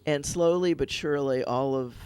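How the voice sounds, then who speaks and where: A man, a rabbi hosting a radio talk show, chatting casually and telling a children's story in an animated way. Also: mid-range voice, moderate pace, clear, resonant tone. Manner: animated storyteller, retelling a fable.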